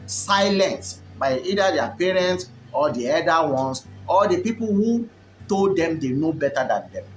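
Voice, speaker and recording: A man's voice: on the high side, low-quality recording. A man, a Yoruba speaker, delivering a rambling, preachy monologue full of fillers and restarts. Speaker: commentator. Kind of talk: unscripted monologue to camera.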